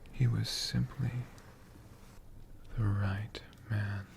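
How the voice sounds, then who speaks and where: A storyteller whispering a tale for ASMR in a breathy, hushed voice, with dramatic phrasing and long pauses. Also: mid-range voice, steady tone, background noise. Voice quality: breathy, mostly unvoiced whisper.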